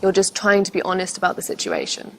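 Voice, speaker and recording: A woman's voice: lowish voice, wildly swooping pitch, fast, creaky. A woman giving casual dating commentary as a co-presenter, explaining things conversationally. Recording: faint background noise.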